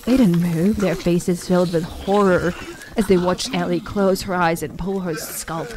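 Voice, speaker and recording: A woman's voice: fairly low pitch, fairly even intonation, unhurried, noisy background. A woman narrating a scripted audio drama as the storyteller.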